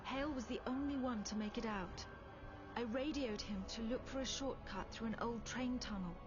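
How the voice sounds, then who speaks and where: Actress as British female military officer, game narrator: composed, grave and measured, slightly muffled audio. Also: on the high side, lightly acted. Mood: measured, serious. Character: composed British female intelligence officer recounting a grim war. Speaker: story narrator. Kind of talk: scripted dramatic narration. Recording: dull lo-fi game audio, music behind. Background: loud background music.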